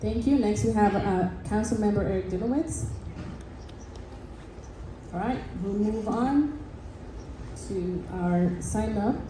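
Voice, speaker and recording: A woman's voice: medium pitch, takes it slowly, pauses often. A woman chairing a community board meeting, introducing speakers in a matter-of-fact way. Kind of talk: running a public meeting. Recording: echoey hall, noisy room.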